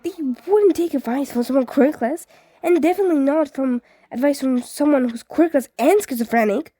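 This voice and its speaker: A woman's voice: high-pitched, normal speed, dark tone, no echo, studio quality, pitched above the performer's own voice, close to an everyday voice. A woman voicing an anxious, self-doubting middle school boy in a story reading, hesitant and easily flustered. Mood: frantic.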